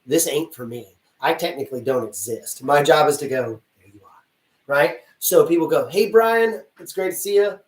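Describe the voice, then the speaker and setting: A man working as a casting director, giving blunt, chatty advice to actors in a live Q&A and acting out little dialogues as he goes. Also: fairly high pitch. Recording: roomy sound from a distance.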